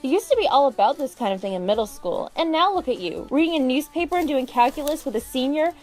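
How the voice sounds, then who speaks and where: A woman's voice: high-pitched, lively intonation, fast talker, a little mellow. An actress playing a mischievous, irreverent teenage girl with a teasing, sarcastic manner in an audio drama. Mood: mocking. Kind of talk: naturalistic audio drama dialogue.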